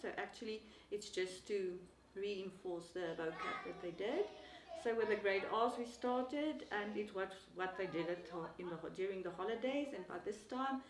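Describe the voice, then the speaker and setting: A woman's voice: medium pitch, reverberant. Enthusiastic Afrikaans-accented woman teacher, distant. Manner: enthusiastic, rambling with fillers. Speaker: Sepedi language teacher. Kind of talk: interview answer.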